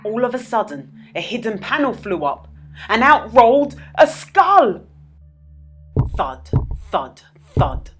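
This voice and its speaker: A woman narrating a children's story as a storyteller, with dramatic, expressive delivery. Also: fairly high pitch, takes it slowly, a little hiss or hum. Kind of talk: children's read-aloud narration.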